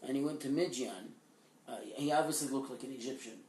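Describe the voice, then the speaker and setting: A man's voice: medium pitch, fairly quick, a little mellow. A man co-hosting a casual talk show, explaining Bible stories in a storytelling way with frequent restarts. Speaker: podcast co-host, Torah teacher. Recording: echoey room, distant mic.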